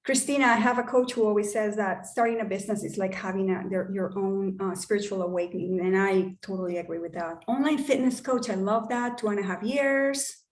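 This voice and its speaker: A woman's voice: mid-range voice, steady tone, a little fast. Workshop host: a woman with a Colombian accent, native Spanish speaker, casual and upbeat, in a reverberant room. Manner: casual and upbeat, with occasional swearing. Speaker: workshop host and wealth coach. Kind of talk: online workshop talk.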